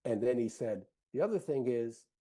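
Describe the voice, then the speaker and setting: A man's voice: mid-range voice, expressive, moderate pace, dark tone. An older American man recounting his memories conversationally as an interviewed academic. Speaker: interviewed professor and author.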